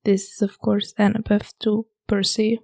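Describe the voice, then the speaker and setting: A young woman, hosting a podcast and chatting casually about a book in a hushed half-whisper, late at night at home. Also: mid-range voice, monotone, normal speed, gravelly. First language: German. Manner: hushed, half-whispered talk to avoid waking roommates. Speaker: podcast host.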